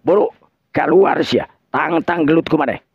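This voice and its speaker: A man's voice: a little high, normal speed, slightly rough, no room sound, pitched above the performer's own voice. A man voicing a furious old village sorcerer in a Sundanese folk tale, coarse and menacing, cursing and threatening. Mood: angry, commanding. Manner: coarse, shouting curses and threats. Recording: dull lo-fi audio.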